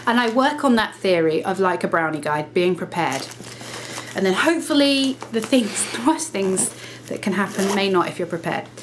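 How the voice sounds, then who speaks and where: Woman vlogger, mid-pitched, conversational, slightly nasal with a cold, faint background hiss. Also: lively intonation. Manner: chatty, self-correcting, brisk explaining. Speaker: lifestyle vlogger. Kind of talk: casual talk to camera.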